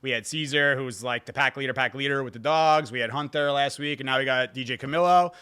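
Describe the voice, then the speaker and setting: A man's voice: medium pitch, quick pace, sharp tone, clean tone. A man hosting a casual interview, talking in a hyped, slangy way with plenty of swearing. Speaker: podcast host.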